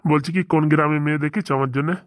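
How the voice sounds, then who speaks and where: A man voicing an eager, bossy village gentleman in a comic cartoon, rambling in folksy dialect. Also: a little high, fairly even intonation, rapid-fire, no room sound, studio quality, natural voice. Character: eager marriage-hungry village gentleman, bossy boss to two helpers. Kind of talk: comic Bengali cartoon dialogue.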